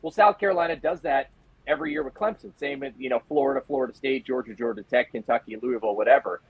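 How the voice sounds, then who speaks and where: An American man giving casual sports analysis as a reporter guest, in a halting, conversational way. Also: mid-range voice, slightly rough, no echo, phone-quality sound.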